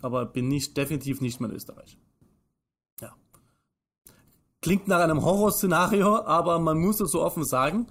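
A man's voice: fairly high pitch, normal speed, pauses often, fairly bright tone. A man in his early thirties with an Austrian German accent, explaining things in an emphatic, instructive conversational way. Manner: emphatic, instructive, poses and answers own questions. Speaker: financial advisor, interview guest.

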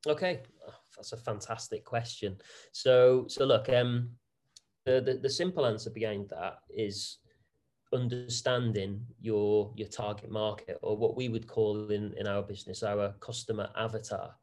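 A man's voice: fairly low pitch, steady tone, unhurried, darkish tone. A man, answering interview questions about his property business in a chatty way, full of fillers and restarts. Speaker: property investor guest.

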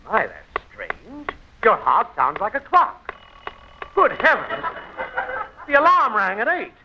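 Fussy high-voiced doctor, noisy radio. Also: male voice, wildly swooping pitch, slow, vocal fry, full character voice. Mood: astonished. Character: fussy, astonished male doctor in a comedy sketch. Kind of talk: 1940s radio comedy acting. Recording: noisy, muffled vintage radio recording.

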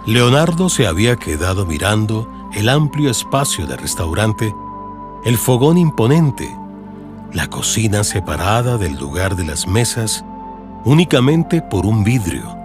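Deep-voiced, lively Colombian male narrator. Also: unhurried. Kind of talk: dramatized audiobook narration in radio serial form. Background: music under the voice.

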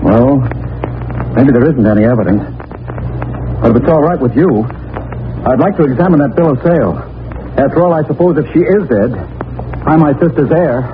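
A man's voice: medium pitch, animated, moderate pace, long pauses, natural voice. An actor playing an earnest, insistent young man seeking justice for his family, in formal 1940s radio crime drama style. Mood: grieving, firm. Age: young adult. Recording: noisy narrow-band 1940s radio recording.

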